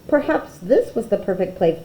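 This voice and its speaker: A woman's voice: medium pitch, sing-song, moderate pace, mellow, a little hiss or hum, lightly acted, rough recording. A woman voicing a small, hopeful male egg, earnest and full of wonder, in a children's picture book.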